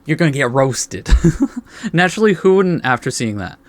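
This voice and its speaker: A man's voice: mid-range voice, big pitch swings, normal speed, no room sound. A man with a mid-range voice reads a visual novel aloud as a let's play host. His delivery is informal, slipping between narration and offhand commentary and correcting his stumbles. The sound is dry, with little room on it. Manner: informal, stumbles over the text and corrects himself.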